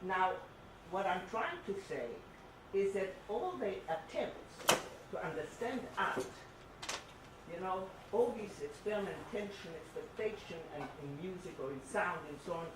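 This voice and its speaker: A far-off, echoing recording of an older woman speaking from the audience. She explains her thoughts on art in a halting, discursive, unscripted way. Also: on the low side, animated. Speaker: audience member commenting on art. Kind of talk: unscripted academic comment. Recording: echoey, noisy hall, far from microphone.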